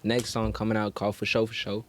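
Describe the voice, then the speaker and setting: A man's voice: fairly low pitch, monotone, fast talker, a little hiss or hum. A man chatting casually as a rapper guest on a podcast, slangy, swearing freely and promoting himself.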